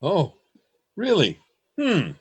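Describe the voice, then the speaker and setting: A man playing an earnest, theatrical young-hearted poet who narrates a radio play, with a husky voice. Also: sits high, wildly swooping pitch, slow pace, full character voice. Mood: polite, uncertain. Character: earnest, wide-eyed male poet newcomer, narrating. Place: remote home recording over video call. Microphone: video call.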